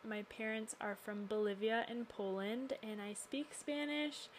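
A woman from the United States with a fairly high voice hosts a faith podcast. She speaks in a personal monologue, earnest and reflective in her delivery. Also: fairly even intonation, measured pace, a little hiss or hum, rough recording. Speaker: podcast host.

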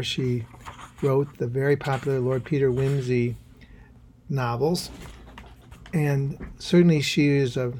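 Mellow man introducing a play, hesitant. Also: medium pitch, slight background noise. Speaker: host introducing a radio play. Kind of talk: informal spoken introduction.

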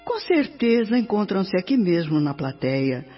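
A woman's voice: mid-range voice, sing-song, unhurried, slightly rough. A Brazilian actress playing a noble, mature female minister addressing an assembly in a scripted radio drama. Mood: composed. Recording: dull lo-fi radio recording, music behind. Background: music.

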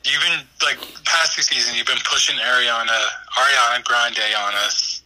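A man's voice: medium pitch, steady tone, moderate pace, pushed and bright, poor audio. A man co-hosting a casual podcast, teasing his friends in slangy banter. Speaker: podcast co-host.

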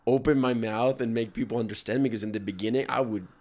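A man's voice: medium pitch, steady tone, fast, darkish tone. A man hosting a podcast in casual conversation, a non-native English speaker who chats loosely and agreeably. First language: not English. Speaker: podcast host.

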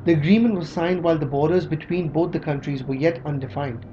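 A man narrating a scripted history documentary in a measured, read-aloud way. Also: on the high side, mellow. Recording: slightly dull audio with music underneath.

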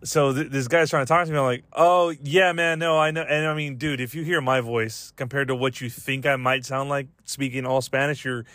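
A man's voice: medium pitch, fairly bright tone, no echo. A man hosting a podcast, rambling casually and comedically in slangy, profane English as he retells everyday exchanges. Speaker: podcast host.

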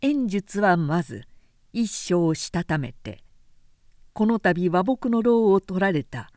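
Slow, measured woman narrator, closely recorded. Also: medium pitch, lively intonation, darkish tone, dry recording, clean studio sound. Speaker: narrator, literary reader. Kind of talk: scripted literary narration of a historical novel.

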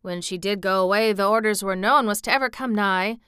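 A woman narrator plays an old Yorkshire gardener, stubborn and dryly funny. The storybook dialect is drawn out and grumbling, yet tender underneath. The voice is clear and bright, recorded close and dry. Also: mid-range voice, dry recording, lightly acted. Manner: dry, grumpy, slow country dialect.

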